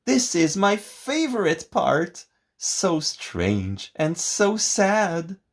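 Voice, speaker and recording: A man voicing a dreamy, haughty opera-loving lady in a storybook, with wistful, drawn-out delivery. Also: high-pitched, big pitch swings, pitched above the performer's own voice, heavily acted. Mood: rapt, wistful.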